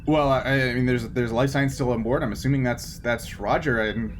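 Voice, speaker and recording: A man's voice: mid-range voice, normal speed. Man game master over noticeable background noise. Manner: jokey, prone to chuckling. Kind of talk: improvised narration and banter in a tabletop game. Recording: noisy room, steady background noise.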